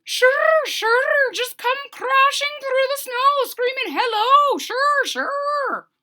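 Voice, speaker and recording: A woman's voice: extremely high pitch, expressive, slow pace, pushed and bright, voice pushed up, exaggerated voice. A woman voicing a giant, bossy mother bird who guards her eggs, squawky and suspicious as she reads a picture book aloud. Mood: scolding. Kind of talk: read-aloud storybook voice.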